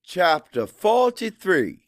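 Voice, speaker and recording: Male audiobook narrator, mid-pitched, unhurried dramatic delivery with pauses, close dry booth sound. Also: sing-song, a bit bright, no echo. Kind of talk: dramatic first-person fiction narration.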